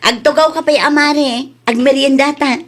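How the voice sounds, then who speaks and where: A woman's voice: high-pitched, steady tone, normal speed, close to an everyday voice. A woman voice-acting a chatty, exclamatory character in a comedy drama, with a Filipino accent. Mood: inviting.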